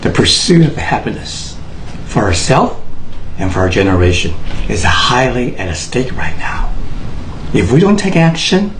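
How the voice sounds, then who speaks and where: Earnest Taiwanese man, halting speech. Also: medium pitch, big pitch swings, a little hiss or hum, low-quality recording. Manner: earnest, halting, many fillers and restarts. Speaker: speaker addressing Americans. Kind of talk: unscripted personal address to camera.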